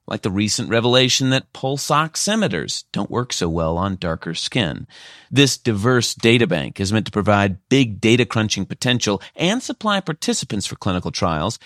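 A male radio reporter with a lowish voice reads his scripted news piece. His delivery is measured and even. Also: no echo, studio quality.

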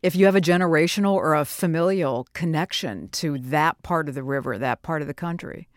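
A man's voice: a little high, fairly quick, no echo, studio quality. Man hosting a call-in radio show.

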